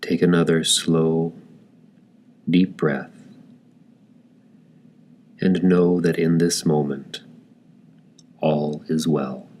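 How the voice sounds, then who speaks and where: Male meditation guide: extremely low pitch, slow delivery, gentle and calm, with long deliberate pauses. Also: a little hiss or hum. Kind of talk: guided meditation, slow soothing scripted narration.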